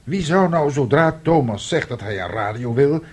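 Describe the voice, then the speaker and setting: Very husky, fretful older composer. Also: male voice, medium pitch, animated, normal speed. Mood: worried. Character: nervous, self-pitying older composer husband. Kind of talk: Dutch radio play acting.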